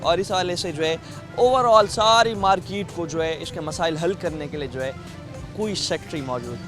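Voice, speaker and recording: A man reporting the news and then interviewing a guest as anchor, earnest and drawn-out, pausing often on fillers. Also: a little high, over music. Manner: earnest, drawn-out delivery with many filler pauses.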